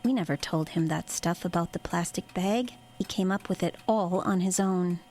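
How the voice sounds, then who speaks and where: An actress playing a cold, calculating superior in a covert military unit, clinical and authoritative, in an audio drama. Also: female voice, lowish voice, normal speed, darkish tone. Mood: coolly calculating. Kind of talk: audio horror drama acting.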